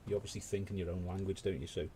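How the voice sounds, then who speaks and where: A man's voice: deep voice, level tone, rapid-fire, dark tone, slight background noise. A British man hosting a paint-along stream, chatting casually with rambling asides. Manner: rambling, conversational, full of asides. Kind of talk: casual chat while painting.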